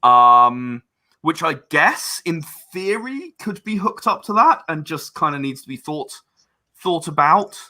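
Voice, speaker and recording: A man being interviewed in a casual chat, speaking earnestly and often haltingly, repeating words as he gathers his thoughts. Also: a little high, big pitch swings, pushed and bright. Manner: earnest about creative work. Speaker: interview guest, game and audio drama creator.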